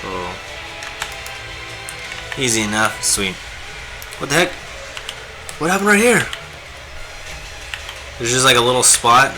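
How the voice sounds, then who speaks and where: A hoarse, raspy man chatting casually and rambling with lots of fillers while he draws on a live stream. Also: mid-range voice, very animated, takes it slowly, long pauses. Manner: laid-back, rambling, many fillers. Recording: music playing behind the voice. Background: guitar music.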